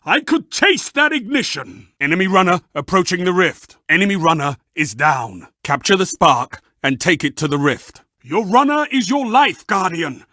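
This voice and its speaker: A theatrical game arena announcer, with a high, very animated voice and a forceful edge. He fires off short, savoring, dramatic calls to spur fighters on, in a dry close recording. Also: moderate pace, few pauses, no echo, full character voice. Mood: excited, relishing. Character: theatrical, fervent male arena match announcer urging on fighters. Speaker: match announcer.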